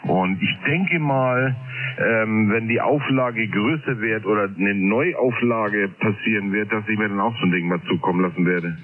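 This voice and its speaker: A man's voice: on the low side, a little slow. A man chatting casually as an amateur radio operator, heard through a shortwave radio transmission. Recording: narrow, noisy shortwave radio reception.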